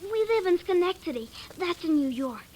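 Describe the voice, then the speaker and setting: A very high, lively little girl's voice, eager and inquisitive, proud of her grown-up words. It is vintage radio drama, with a light noise on the recording. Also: normal speed, rough recording. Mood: eager, curious. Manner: artless, earnest, proudly reciting grown-up words. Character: chatty, eager, inquisitive little girl, a scientist's daughter. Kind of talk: 1950s radio drama acting.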